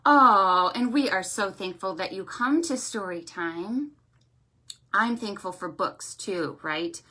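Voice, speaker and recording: A woman hosting a storytime for young children, with an animated, sing-song, encouraging way of talking, full of check-in questions and affectionate thanks. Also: medium pitch, slow. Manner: affectionate, effusive greetings and thanks. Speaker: children's library storytime host.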